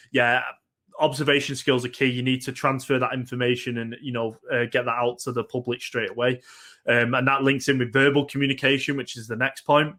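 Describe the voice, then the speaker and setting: A young man from Manchester with a Mancunian accent, giving a casual workshop talk on getting into esports. He pauses often with ums and repeats words as he thinks. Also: mid-range voice, fairly bright tone. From Northern England, Manchester.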